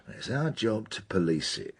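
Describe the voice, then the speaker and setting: An actor playing a blunt, gruff British police detective mentor in a radio drama, sardonic and emphatic, with a husky voice and slangy speech. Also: male voice, lowish voice, huge pitch swings, moderate pace, heavily acted. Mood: exasperated. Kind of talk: naturalistic radio drama acting.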